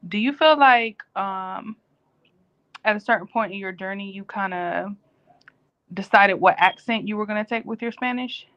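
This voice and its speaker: A woman co-hosting a casual live-stream chat, telling stories in a lively, animated way. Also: mid-range voice, moderate pace, muffled recording.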